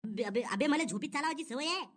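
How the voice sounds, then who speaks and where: A man's voice: extremely high pitch, lively intonation, quick pace, fairly bright tone. Man playing a stammering, pleading cartoon son-in-law. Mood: flustered. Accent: rural Maharashtrian. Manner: stammering, wheedling. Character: hapless, drink-loving bald son-in-law, pleading and scheming. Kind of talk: comedy cartoon dubbing.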